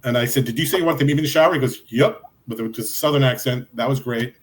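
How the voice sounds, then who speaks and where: Male guest investigator, rapid emphatic delivery, mid-range voice. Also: mid-range voice, soft background music. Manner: excited, emphatic storyteller. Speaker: podcast guest, paranormal investigator. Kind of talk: casual chat.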